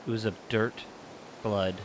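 Low-pitched man narrating over clear noise. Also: fairly even intonation, a little slow. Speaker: narrator and author. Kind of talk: scripted fantasy audio story narration. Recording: clear background noise, ambient sound design. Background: ambient sound effects.

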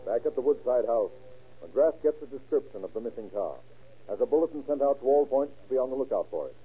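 An actor narrating an old-time radio crime drama in a grave, formal style. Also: male voice, medium pitch, animated, quick pace, natural voice. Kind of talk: 1930s-1940s radio scripted narration. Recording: scratchy, tinny old radio recording.

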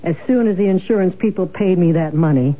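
An actress playing a bitter, sharp-tongued wife, with a pointed, accusing delivery in 1970s radio drama style. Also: female voice, fairly low pitch, brisk, natural voice. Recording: tinny, band-limited old radio broadcast.

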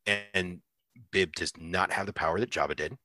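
A man co-hosting a podcast, talking casually about a series as a fan, often pausing and restarting his thoughts. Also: low voice, steady tone, pushed and bright, no room sound, clean studio sound. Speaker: podcast co-host.

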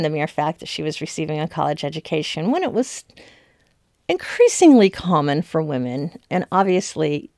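Female academic interviewee, notably low voice, thoughtful and emphatic, dry recording. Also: a little mellow, no room sound. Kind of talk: explanatory interview commentary.

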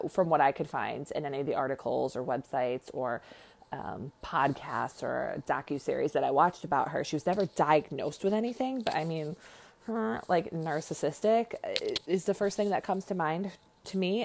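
Low-voiced lisping woman podcaster. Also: normal speed, vocal fry, a little mellow, a little hiss or hum. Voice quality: slight lisp. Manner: rambling, self-deprecating, sarcastic, blunt with swearing. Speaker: podcast host, nurse. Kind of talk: casual true crime podcast monologue.

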